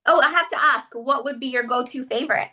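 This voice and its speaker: Upbeat woman hosting an interview, bright sharp voice, kinda high. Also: fast talker. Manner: upbeat, reads a scripted intro, then affirming listener. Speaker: podcast host.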